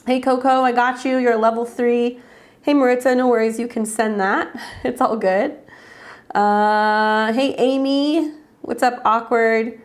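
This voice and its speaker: A woman's voice: fairly high pitch, fairly even intonation, unhurried. A woman with a head cold and a congested voice, chatting casually as an astrologer hosting live readings and greeting viewers by name. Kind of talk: casual livestream chat.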